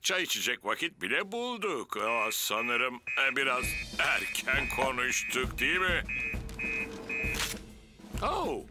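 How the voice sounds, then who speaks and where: A man's voice: mid-range voice, big pitch swings, slow pace. Older male fire chief, officious, easily flustered, children's cartoon, music behind. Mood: brisk, flustered. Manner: blustery, officious. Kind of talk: dubbed children's cartoon acting.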